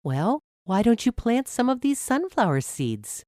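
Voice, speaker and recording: A woman voicing a patient, encouraging mother who explains things gently to her child in a storybook. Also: a little high, big pitch swings, a little slow, no room sound, studio quality, pitched above the performer's own voice.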